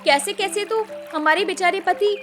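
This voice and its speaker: A woman's voice: very high-pitched, normal speed, over music. A woman voicing a thrifty, practical city-bred daughter-in-law in a story cartoon, earnest and explanatory. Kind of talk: animated moral-story cartoon dialogue.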